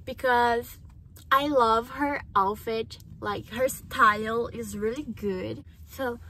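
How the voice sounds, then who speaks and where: Slow-talking teen girl, Portuguese accent. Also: a little high, poor audio. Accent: Brazilian Portuguese. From Japan. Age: teens. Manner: slow, searching for words, animated. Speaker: vlogger. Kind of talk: casual chat to camera. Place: parked car, indoors. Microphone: phone camera on dashboard.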